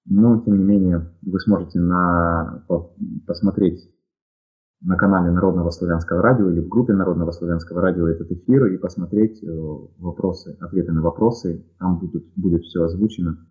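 A man from Ukraine answers listener questions on a live radio broadcast. His voice sits very low and comes through a narrow, phone-like line. He talks in a measured way, pausing often with fillers. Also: steady tone. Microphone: headphones with headset audio.

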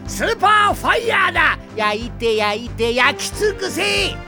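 An actor playing a gleeful old mad scientist in a cartoon audio drama, gloating and ranting theatrically in old-fashioned villain speech. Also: male voice, very high-pitched, big pitch swings, a little slow, music in the background, exaggerated voice. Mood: gloating, commanding.

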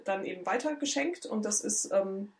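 A woman co-hosting a podcast, a bit hoarse, chatting casually and rambling with many fillers and restarts. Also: mid-range voice, expressive, moderate pace, a little hiss or hum. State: slightly hoarse. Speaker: podcast co-host. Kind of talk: casual chat.

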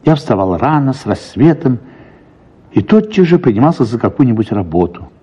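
A man reading a first-person literary story for radio in an expressive, reflective manner, with drawn-out words and pauses. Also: mid-range voice, slightly rough. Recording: slightly dull radio sound, music behind. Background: soft music.

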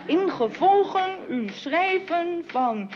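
An actress playing a lively, easily indignant young daughter in a Dutch radio play. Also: female voice, extremely high pitch, crowd noise, full character voice. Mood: concentrated. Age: young adult. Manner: slowly reads out letters while typing, chatty otherwise. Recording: dull, noisy old radio recording.

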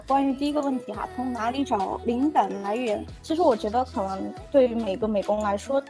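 A woman guest giving casual interview answers, often hesitating as she searches for words. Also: a little high. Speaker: poster artist for an audio drama, as guest. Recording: faint music behind the voice. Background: background music.

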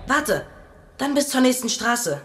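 An actress in a German radio drama playing a casual, plucky youngster with a matter-of-fact manner. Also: female voice, high-pitched, big pitch swings, unhurried, a bit bright, husky, faint music behind, full character voice. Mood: casual, helpful.

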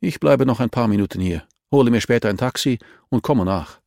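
A man's voice: medium pitch, brisk, gravelly, darkish tone, dry recording, studio quality, natural voice. A man voicing a terse, guarded male investigator in an audiobook, curt and reserved. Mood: businesslike.

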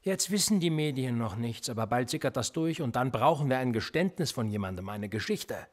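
A cool, manipulative police interrogator in a radio play, played by a man. His voice is a little husky, and his pitch swings widely as he pushes a suspect with probing questions. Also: animated, a little fast, lightly acted. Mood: pressing. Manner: probing and insistent, pressing with pointed questions. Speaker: police officer.

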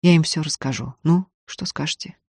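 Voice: medium pitch, moderate pace, a little mellow, slightly rough, no room sound, close to an everyday voice. A narrator playing a grave, guilt-ridden but firm landowner in a dramatic audiobook. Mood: heavy, resolute. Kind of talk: dramatic audiobook character reading.

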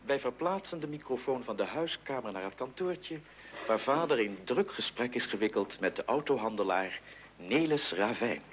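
A man's voice: medium pitch, lively intonation, moderate pace, noisy background, close to an everyday voice. A man narrating a scripted radio play as its announcer. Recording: dull old radio recording, music behind.

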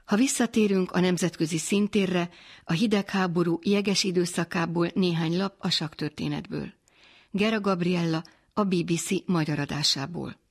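Husky female radio reporter. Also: on the low side.

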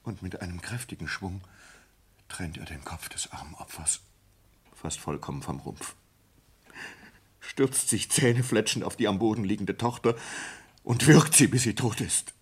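An actor playing a distraught sailor in a German radio play, confessing in a halting, intense manner with a husky voice. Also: male voice, fairly low pitch, expressive. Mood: horrified, subdued. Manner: halting, intense, swinging from hushed to agitated. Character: distraught sailor confessing a horrifying ape killing. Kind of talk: German radio play acting, dramatic monologue.

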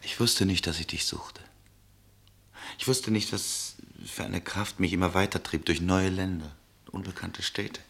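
Husky, deep, yearning traveller. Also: male voice, fairly even intonation, unhurried, a bit bright, exaggerated voice. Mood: tender, yearning. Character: weary, yearning male traveller, romantic and searching. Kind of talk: poetic radio play acting.

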